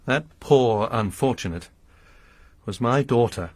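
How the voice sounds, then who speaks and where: An actor playing a grim, pious lodger, a grieving father bent on revenge, who talks in a formal, preaching way with a husky voice. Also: mid-range voice, expressive, slow, no echo. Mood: solemn. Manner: formal, sermonizing, quotes scripture. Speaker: lodger under arrest. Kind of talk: radio drama acting.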